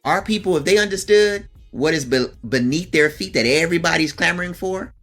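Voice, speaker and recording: A man's voice: fairly high pitch, a little fast, a bit bright, faint music behind. A man co-hosting a podcast in a casual chat, often acting out what others might say. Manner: acts out quoted lines, interjects agreement. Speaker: podcast host.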